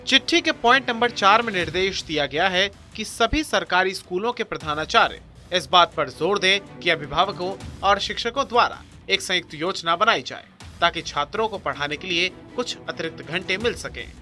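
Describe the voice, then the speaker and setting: A man's voice: high-pitched, expressive, moderate pace, pushed and bright. A man reading a scripted news report as the narrator. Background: music bed.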